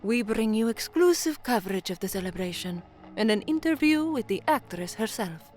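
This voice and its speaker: A woman's voice: mid-range voice, animated, normal speed, darkish tone, natural voice. Woman acting an earnest young newsreader, music behind. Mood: composed, formal. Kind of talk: audio drama acting, newsreading and first-person narration.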